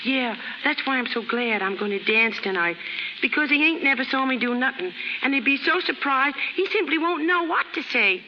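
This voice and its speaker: A woman's voice: sits high, lively intonation, moderate pace, noisy background. An actress playing a brash, naive young chorus girl from the slums in 1930s American radio drama, with slangy, ungrammatical street talk. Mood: eager, wistful. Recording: muffled 1930s radio recording with music.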